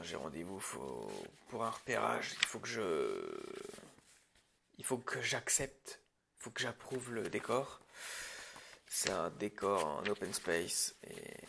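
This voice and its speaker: A man's voice: lowish voice, gravelly, noisy background, exaggerated voice. A man playing an irritable, bossy film director in an improvised parody roleplay, alternating hesitant muttering with curt, sarcastic orders. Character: irritable, bossy male film director running a prep meeting.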